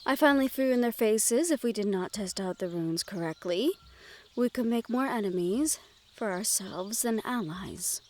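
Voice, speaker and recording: A woman playing a gentle, well-bred young noblewoman in a fantasy audio roleplay, speaking in polite, measured phrases directly to the listener. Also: mid-range voice, lively intonation, lightly acted. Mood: serene, thoughtful.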